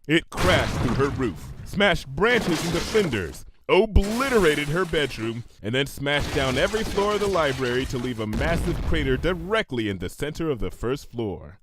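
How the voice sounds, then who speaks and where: A man's voice: fairly high pitch, sing-song, moderate pace, hardly any pauses, noisy background. A man narrating a comedic fan fiction story in a theatrical, emphatic manner, drawing phrases out for suspense.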